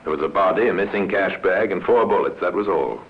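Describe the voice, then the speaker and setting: Deep male storyteller for radio crime narration, terse and dramatic, on a noisy vintage recording. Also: fairly quick. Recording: muffled old radio recording with noise.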